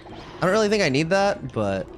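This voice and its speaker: A man's voice: a little high, big pitch swings, normal speed, soft background music. A man livestreaming a video game, chatting casually with viewers and commenting on play in a wry, ranting way.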